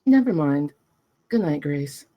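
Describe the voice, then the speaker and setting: A woman's voice: deep voice, expressive, slow, highs cut off. A woman playing a cool, outwardly forgiving servant in a period screenplay read, restrained and dismissive. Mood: coolly reassuring.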